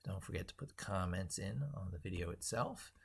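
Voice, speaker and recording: A man with a deep-pitched, husky voice full of creak hosts a casual tech livestream. He thinks aloud as he goes, rambling and repeating phrases for emphasis. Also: animated, fairly quick. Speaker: livestream host.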